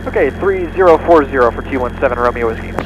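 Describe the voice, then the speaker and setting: A male pilot making routine radio calls in rapid, standard phraseology. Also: mid-range voice, expressive, highs cut off. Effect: aircraft radio. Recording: radio audio with engine noise.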